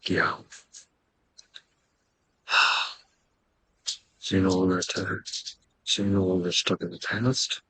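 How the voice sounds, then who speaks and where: A man giving casual gameplay commentary in a hesitant, halting, musing way. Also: sits low, level tone, sharp tone, slightly rough, low-quality recording. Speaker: video game streamer.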